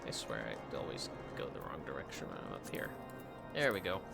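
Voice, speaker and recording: A man's voice: a bass voice, wildly swooping pitch, fairly quick, vocal fry. A man doing casual, playful gaming commentary as a livestreamer, swearing freely. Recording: game music behind the voice. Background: game music.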